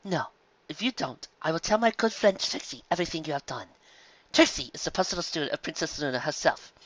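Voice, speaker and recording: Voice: medium pitch, bright, forceful tone, dry recording, highs cut off, voice pushed up. Reader playing a fierce, determined mare. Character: determined young mare farmer, brave and protective.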